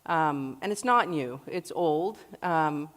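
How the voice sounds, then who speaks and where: A woman in her forties speaks earnestly to an audience, her voice sitting a little low for a woman. Her talk carries a long reverb from the large hall around her. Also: animated, slow. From Iraq. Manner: earnest, warm-hearted storytelling. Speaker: guest speaker.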